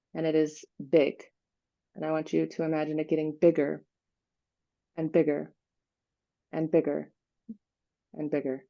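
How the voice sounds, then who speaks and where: A woman leading an unscripted guided visualization meditation, giving gentle instructions with pauses. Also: sits low, vocal fry, dull audio.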